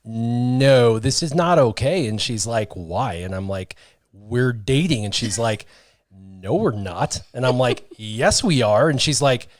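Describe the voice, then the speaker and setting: A man's voice: mid-range voice, animated, unhurried, no echo. A man in his thirties hosting a casual livestream chat, telling stories animatedly and reading viewer comments from a small studio on a stand mic. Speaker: host. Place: small studio with brick wall. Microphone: studio mic on stand, headphones.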